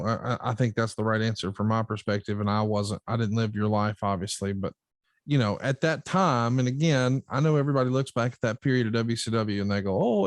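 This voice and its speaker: A man's voice: mid-range voice, no room sound. A man hosting a podcast in a casual, conversational style, explaining things with a wry touch. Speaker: podcast host reading listener questions.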